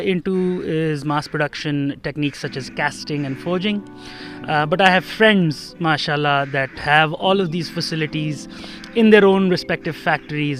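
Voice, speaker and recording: Young man, from Pakistan, interview guest, relaxed with fillers, slightly high voice, faint music behind. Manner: relaxed, uh and um fillers, laughs lightly. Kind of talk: interview answers.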